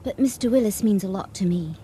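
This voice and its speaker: An actress playing a shy, bookish, lovestruck young woman in a radio drama, earnest and halting. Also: medium pitch, animated, dark tone, close to an everyday voice. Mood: determined. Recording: noisy 1980 radio drama recording.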